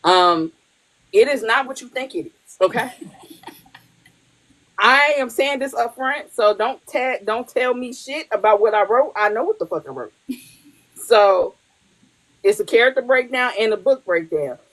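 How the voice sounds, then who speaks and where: A woman's voice: fairly high pitch, a little slow, pauses often, low-quality recording. A woman hosting a podcast in casual chat, blunt and profane, laughing often. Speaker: podcast host.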